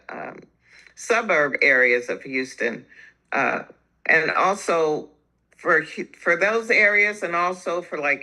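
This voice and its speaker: A woman's voice: low voice, pushed and bright, low-quality recording. A woman calling in with a polite, hesitant question and many fillers. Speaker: caller. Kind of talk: casual question on a call.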